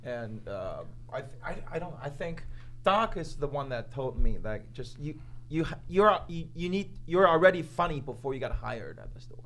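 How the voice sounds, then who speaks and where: Comedian with a Chinese accent, a touch high, chatty and halting. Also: male voice, very animated, fairly bright tone. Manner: halting, frequent restarts and fillers. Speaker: comedian and club door host. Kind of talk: casual chat.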